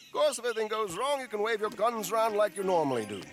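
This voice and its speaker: A man's voice: high-pitched, big pitch swings, quick pace, soft background music. An actor playing a flamboyant, grandiose con-artist showman in a video game, with florid, effusive delivery. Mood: scheming, upbeat. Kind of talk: video game cutscene acting.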